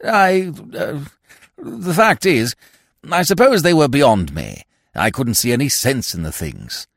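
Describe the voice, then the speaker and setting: A man's voice: medium pitch, big pitch swings, a little slow, a bit bright, no echo, clean studio sound, voice pushed up, full character voice. A man voicing a fussy, absent-minded old lord in an audiobook, with a stammering, blurting way of talking. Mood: flustered.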